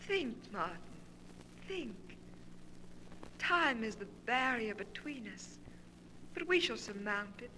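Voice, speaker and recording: Slow, bright-voiced, seductive evil ghost woman. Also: a little high, expressive, exaggerated voice. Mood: hypnotic, yearning. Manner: slow, deliberate, melodramatic. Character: seductive evil ghost of a dead lover, commanding. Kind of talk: 1940s radio horror acting. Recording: noisy vintage 1940s radio recording.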